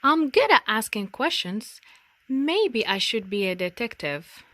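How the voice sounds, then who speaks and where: Woman reading as an eager, curious little girl, lively pitch. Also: unhurried, dry recording, heavily acted. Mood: musing, hopeful. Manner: earnest, inquisitive. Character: eager, curious young girl narrating storybook, admiring police.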